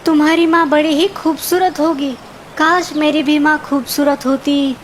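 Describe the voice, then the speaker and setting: A woman's voice: high-pitched, steady tone, moderate pace, slight background noise, close to an everyday voice. A woman voicing an emotional, petulant young boy in a children's cartoon, whiny and dramatic. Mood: wondering. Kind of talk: Hindi children's cartoon dialogue.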